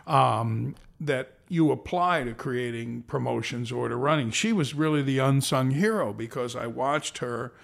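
Interview guest, man in his sixties, gravelly, rambling storyteller. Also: medium pitch, a little slow, few pauses. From American Southwest. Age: 60s. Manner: rambling, self-correcting anecdotes with playful voices. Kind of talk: reflective storytelling.

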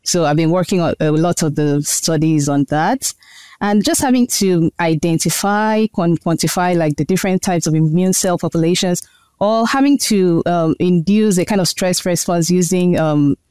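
A woman in her thirties answers interview questions about her work. Her voice is smooth and resonant, with a dry, close sound. She talks in an earnest, explanatory way, thinking things through as she goes. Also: fairly low pitch, normal speed, darkish tone, no echo. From Nigeria. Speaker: guest researcher.

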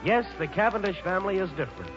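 Wry, urbane radio narrator, muffled. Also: male voice, sits high, animated, fast. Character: wry, urbane male narrator introducing a theatrical family. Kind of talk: 1940s radio drama narration. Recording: muffled vintage radio, music behind.

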